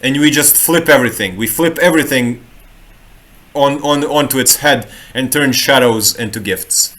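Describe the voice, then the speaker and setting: A man's voice: mid-range voice, moderate pace, fairly bright tone. A man from Croatia, talking in a loose, rambling way about human design, often restarting words and adding playful asides. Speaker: human design reader and street artist. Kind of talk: casual live talk. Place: home room in Sweden.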